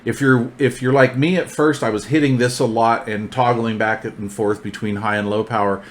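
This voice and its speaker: A man's voice: medium pitch, steady tone, moderate pace. A man reviewing gear hands-on in a casual, informal, folksy way, often repeating his phrases.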